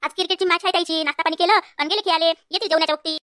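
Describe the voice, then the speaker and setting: A woman's voice: very high voice, fairly even intonation, racing, pushed and bright, no echo. A woman voicing a bossy married daughter in a comedy cartoon, scolding in rural Varhadi Marathi from Vidarbha. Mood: chatty. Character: bossy married daughter, fussing over her parents, quick to scold.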